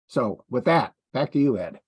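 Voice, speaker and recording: A man speaking as a club district leader, giving informal, somewhat halting welcome remarks. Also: medium pitch, sing-song, slightly rough, dry recording, studio quality. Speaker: Rotary district governor opening a training session.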